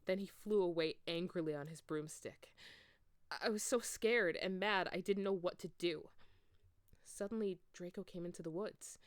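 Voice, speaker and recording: A woman's voice: lowish voice, normal speed, no room sound, studio quality. A woman reading fan fiction aloud in a theatrical amateur storytelling style. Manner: theatrical, acts out dialogue.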